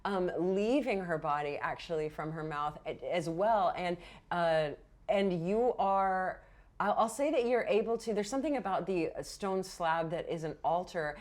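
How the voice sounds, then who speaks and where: Expressive woman running a tabletop game. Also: fairly low pitch, unhurried. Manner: animated, exclamatory reactions. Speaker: game master. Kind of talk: improvised tabletop game narration, casual.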